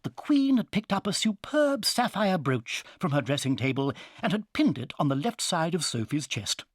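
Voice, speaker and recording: A woman's voice: sits low, very animated, normal speed, no room sound, studio quality. A woman with a British accent reading scripted storybook narration as the narrator of a children's tale.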